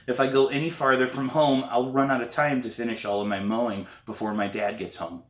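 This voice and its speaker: A man narrates a story expressively, as an author reading his own book. His voice is a little low and very bright and forward, with clear room echo. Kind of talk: expressive audiobook-style narration.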